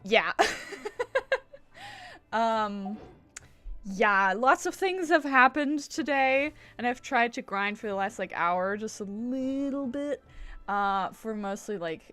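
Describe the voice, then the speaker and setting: A woman's voice: on the high side, fairly bright tone. A woman chatting casually on a gaming livestream, rambling, interrupting herself and swearing now and then. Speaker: game streamer. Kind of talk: casual livestream gameplay chat.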